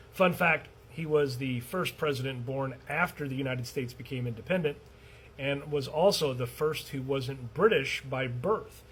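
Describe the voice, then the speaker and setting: Guy hosting a history explainer, kinda high voice, jokey asides, faint hiss behind. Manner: chatty, wry asides with frequent ums. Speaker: educational video host. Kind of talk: casual explainer monologue.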